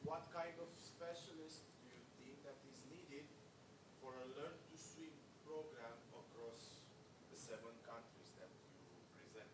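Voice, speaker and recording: A man in the audience asking a long, rambling question full of fillers. He is picked up from far off in a very echoey hall, with a clear hiss of noise behind him. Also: mid-range voice, measured pace. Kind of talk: conference question from the floor. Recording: distant room mic in echoey hall.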